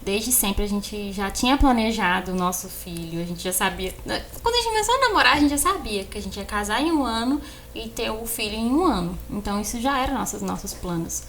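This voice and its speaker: A Brazilian woman shares personal stories in a relaxed podcast conversation. Her voice sits at a middle pitch, and she tells things in an animated way, repeating words to stress them. There is some ambient noise behind her. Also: brisk. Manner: animated storyteller who acts out exchanges and repeats words for emphasis. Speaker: podcast co-host and architect. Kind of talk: casual conversation, personal storytelling.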